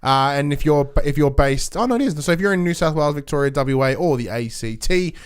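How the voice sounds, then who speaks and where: A young Australian man co-hosting a podcast, chatting casually in a halting, rambling way. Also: fairly high pitch, expressive, clean tone. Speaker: podcast co-host. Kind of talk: casual chat.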